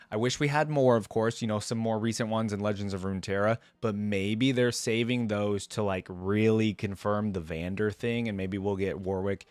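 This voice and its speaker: A man's voice: fairly low pitch, clean tone, no echo. A man hosting a reaction video, talking casually to viewers and loosely thinking aloud in a conversational way. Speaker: host of reaction video channel.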